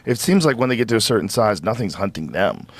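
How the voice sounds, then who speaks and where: A man's voice: on the low side, sing-song, quick pace, dry recording. A man in casual chat who jokes around and asks questions.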